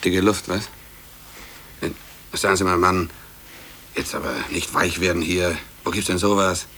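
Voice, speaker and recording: A man's voice: low voice, fairly even intonation, measured pace, bright, forceful tone. A man playing a slippery, insinuating office colleague in a 1950s German radio drama, talking colloquially on a noisy old recording. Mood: conspiratorial. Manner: colloquial, insinuating, hedging with question tags. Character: needling, slippery male office colleague, egging on. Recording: noisy old radio play cassette transfer.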